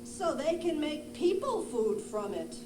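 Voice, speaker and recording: A woman voicing a grumpy, bossy male raccoon puppet in a children's show, grumbling with drawn-out emphasis. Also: high voice, big pitch swings. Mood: explaining. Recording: roomy, echoing, noisy live puppet show.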